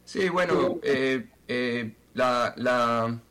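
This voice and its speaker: Colombian man, halting interviewee. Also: medium pitch, fairly bright tone. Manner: halting, repeats words as he searches for them. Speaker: football club president. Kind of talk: interview answers.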